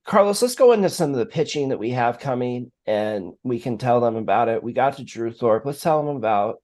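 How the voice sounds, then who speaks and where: A man hosting a casual sports talk stream, explaining things in a measured, analytical way. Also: medium pitch, expressive, darkish tone. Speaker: sports live stream host.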